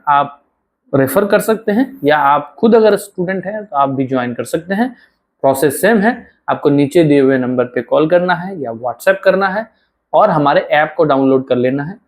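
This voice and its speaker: A man with a hoarse, sore throat, teaching an online exam-prep lecture and talking straight to his students, repeating key phrases slowly. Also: a little high, darkish tone. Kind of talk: online lecture with course promotion.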